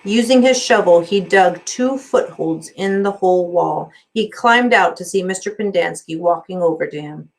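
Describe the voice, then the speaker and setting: A woman's voice: on the low side, moderate pace, few pauses, poor audio. Woman reading a story aloud expressively. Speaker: teacher reading a book aloud.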